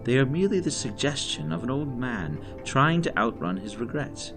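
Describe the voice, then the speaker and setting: A man playing a weary, regretful old gentleman in an audio drama, formal and earnest. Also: mid-range voice, sing-song, over music, natural voice. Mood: grave, advising. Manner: formal, earnest, measured diction. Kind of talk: scripted audio drama acting.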